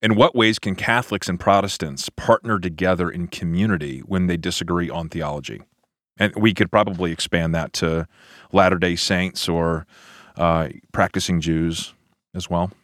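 A man's voice: sits low, normal speed, a bit bright, no echo, clean studio sound. An American man, a pastor hosting a podcast, asking questions and commenting thoughtfully in a conversational way. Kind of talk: conversational interview.

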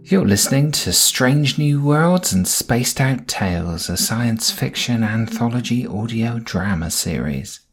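A man's voice: medium pitch, expressive, a little slow, few pauses, soft background music. A man announcing an audio drama show in a scripted introduction.

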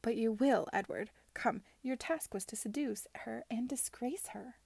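A woman voicing a haughty, scheming young gentlewoman in an audiobook, with a cutting, commanding and disdainful delivery. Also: a little high, a little slow, vocal fry, slightly rough, no room sound, voice pushed up, heavily acted. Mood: pressing. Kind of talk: audiobook character dialogue.

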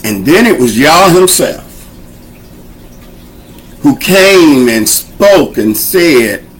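A man's voice: a little high, gravelly, rough recording. A man hosting a show and preaching in a folksy, conversational way, urging his listeners along. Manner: folksy, conversational preaching with call-and-response encouragement. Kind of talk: informal sermon teaching.

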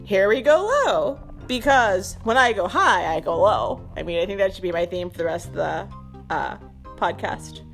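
A woman podcast host talks in a loose stream of consciousness, as if thinking out loud. She is self-amused and irreverent, and keeps the chat casual. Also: medium pitch, moderate pace, gravelly, faint music behind.

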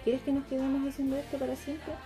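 A troubled, plain-spoken Chilean girl, played by a young woman in a radio drama. Her voice sits fairly high, and music plays clearly behind her. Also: monotone, fast, close to an everyday voice. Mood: subdued, frank. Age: young adult. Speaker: student performer. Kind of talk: radio theatre acting.

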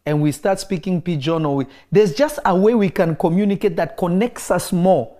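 A man who grew up in Kenya, preaching in a relaxed interview and driving his points home by repeating key phrases. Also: high-pitched, moderate pace. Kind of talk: conversational preaching.

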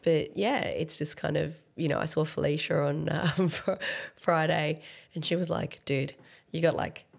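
Croaky low-voiced woman. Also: steady tone, measured pace. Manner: chatty, laughs often, many fillers. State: croaky from coughing. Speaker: podcast co-host. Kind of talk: casual chat.

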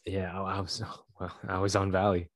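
A young man co-hosting a podcast over a video call, his voice stuffy and congested, talking in a laid-back, rambling way with halting restarts. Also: sits low, completely flat delivery, no echo. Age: young adult. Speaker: podcast co-host. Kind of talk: casual chat.

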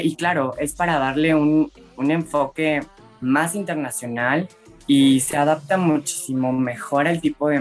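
Young mexican singer talking really slowly, lots of fillers, some music under it. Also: mid-range voice. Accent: Mexican Spanish. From Mexico City. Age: young adult. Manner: slow and relaxed, laughs often, hesitant fillers. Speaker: singer being interviewed.

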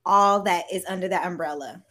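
A woman's voice: lowish voice, a little fast, sharp tone. A woman with an American accent interviewing as a podcast host in a casual, informal chat.

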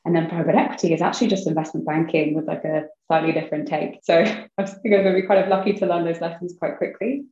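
A woman's voice: fairly low pitch, fairly even intonation, fast, hardly any pauses, mellow. A young woman chatting casually as an interview guest, filling her talk with "like" and "kind of.". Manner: chatty, many fillers like "like" and "kind of". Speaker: guest, venture investor. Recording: roomy, slightly dull sound.

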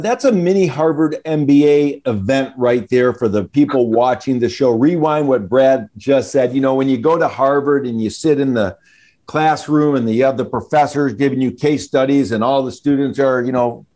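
A man hosts an interview show. He asks long, winding questions and praises his guest with open enthusiasm, his voice pitched in the middle range. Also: moderate pace, a little mellow. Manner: enthusiastic, effusive, rambling questions. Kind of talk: interview hosting.

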